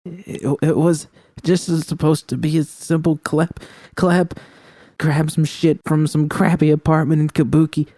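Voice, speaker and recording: A man's voice: on the high side, flat delivery, measured pace, warm tone, no echo, studio quality, pitched above the performer's own voice. A man voicing a panicked young female mercenary who stammers, swears and pleads through sobs in an audiobook story. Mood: shaken, sobbing. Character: young street mercenary woman, sobbing, panicked, desperate, foul-mouthed.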